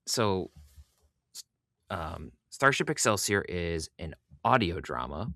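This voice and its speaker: A man's voice: deep voice, sing-song, slow, vocal fry, a bit bright, no echo, clean studio sound. A man hosting a podcast, chatting casually as he interviews a guest.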